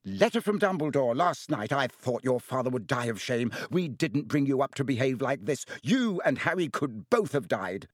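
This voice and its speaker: A man voicing a furious middle-aged mother in an audiobook, giving a shrill, outraged telling-off. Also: big pitch swings, moderate pace, hardly any pauses, no echo, clean studio sound. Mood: furious, disgusted. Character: furious scolding middle-aged mother, yelling magically amplified letter.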